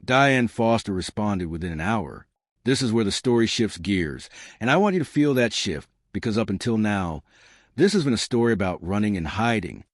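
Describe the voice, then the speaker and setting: A man with a low voice tells a scripted dramatic story. His pitch moves expressively as he phrases each line deliberately, speaking to the listener like an audiobook narrator. The recording is dry and close. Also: very animated, dry recording.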